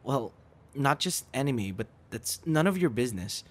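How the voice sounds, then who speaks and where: A young man acting a busy, guarded friend in an intimate audio roleplay, with halting, stammering delivery. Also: mid-range voice, lively intonation, lightly acted. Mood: irritated, evasive.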